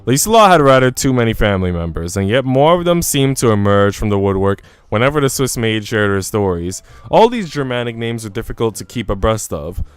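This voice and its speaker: A man's voice: mid-range voice, big pitch swings, a little fast, hardly any pauses, smooth, no echo. A man reading visual novel narration aloud as a storyteller. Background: soft music.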